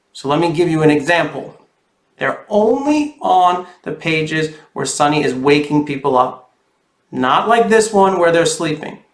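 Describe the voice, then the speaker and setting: A man's voice: on the high side, a bit bright. A man who is a children's author, reading a storybook expressively and talking directly to young viewers. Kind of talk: animated storybook read-aloud and friendly address to children. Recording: roomy, echoey sound.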